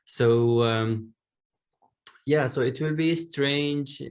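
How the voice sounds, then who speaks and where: A young man whose first language is Domari, speaking carefully and with many pauses as a panelist on a video call. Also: medium pitch. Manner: slow, careful, pausing often. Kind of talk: online panel discussion.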